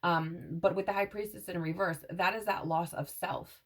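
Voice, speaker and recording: A woman with a fairly low voice talks through a tarot reading in a loose, meandering way, often tagging "right?" and "like" onto her thoughts. Her throat sounds a little scratchy. She speaks as if passing on intuitive messages. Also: steady tone, normal speed. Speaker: tarot reader. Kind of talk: casual spiritual tarot reading to camera.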